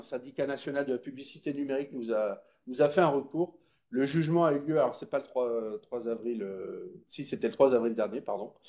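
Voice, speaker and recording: A man's voice: on the high side, warm tone. A man explaining things at a public meeting in an informal, didactic way, checking that listeners follow. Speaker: presenter at a public consultation meeting. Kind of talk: explanatory public presentation with question-taking.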